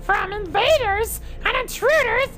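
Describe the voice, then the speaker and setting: A woman's voice: very high voice, a little slow, fairly bright tone, soft background music. A woman playing an imperious cobra princess who guards an ancient tomb, with haughty, theatrical proclamations in a comic adventure radio play. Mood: commanding.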